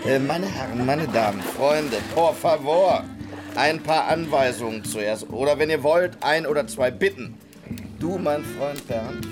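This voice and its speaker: A man's voice: medium pitch, lightly acted. An actor playing a bawdy, boastful rogue and showman in a historical radio drama, theatrical, mocking and jovial. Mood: showy, mock indignant.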